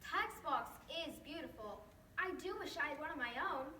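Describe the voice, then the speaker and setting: A woman's voice: high voice, animated, moderate pace, sharp tone. A young teenage girl playing an eager, earnest little female character who longs to fit in, in readers theater. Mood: wistful, eager. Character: wistful young female wemmick longing to fit in. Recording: echoey room, distant mic, noisy background.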